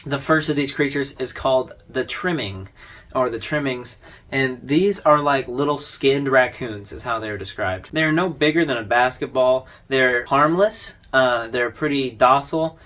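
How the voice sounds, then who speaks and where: A man's voice: medium pitch, normal speed, a bit bright. A man hosting an informal explainer video, talking through his commentary in a conversational, wry way. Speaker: video host.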